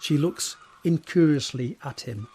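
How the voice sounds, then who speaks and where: A man's voice: on the high side, a little slow, gravelly, warm tone, husky. A man narrating an audio drama from a script, describing the scene in the present tense.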